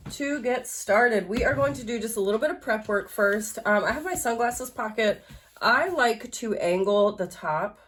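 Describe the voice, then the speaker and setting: A woman's voice: mid-range voice, a little hiss or hum. Chatty woman, stuffy and a bit nasal. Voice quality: slightly nasal. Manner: chatty, self-correcting with frequent fillers. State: congested from allergies. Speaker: sewing instructor. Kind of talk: casual sewalong tutorial.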